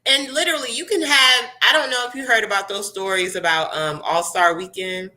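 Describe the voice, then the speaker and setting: A young adult woman, hosting a casual live chat in a chatty, animated way and sounding congested. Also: medium pitch, a little fast. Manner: chatty, animated, laughs often. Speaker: host.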